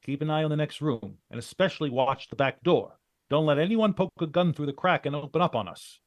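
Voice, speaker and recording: A man's voice: fairly high pitch, no echo, natural voice. A man playing a cool, commanding American troubleshooter in a hard-boiled 1940s-style radio drama. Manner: brisk, wry, hard-boiled.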